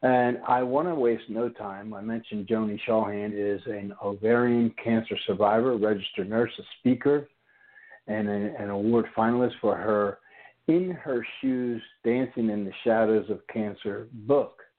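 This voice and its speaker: A man's voice: lowish voice, fairly even intonation, measured pace. Chatty man in his sixties hosting radio. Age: 60s. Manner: chatty, rambling with restarts. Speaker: radio show host. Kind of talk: interview on internet radio. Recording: tinny phone-like audio.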